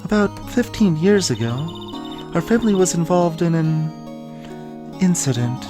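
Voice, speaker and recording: Guy doing a soft sad young spirit medium girl, game dialogue, halting and earnest, music playing. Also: on the high side, expressive, pitched above the performer's own voice, close to an everyday voice. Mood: sad, reflective. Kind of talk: voiced video game dialogue. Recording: music behind the voice.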